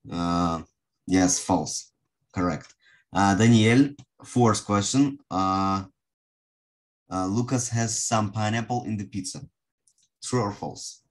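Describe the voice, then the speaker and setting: Guy teaching english online, low voice, slow, lots of halting pauses. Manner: halting, with long hesitations. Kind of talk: online group lesson, asking pupils questions. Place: online video call.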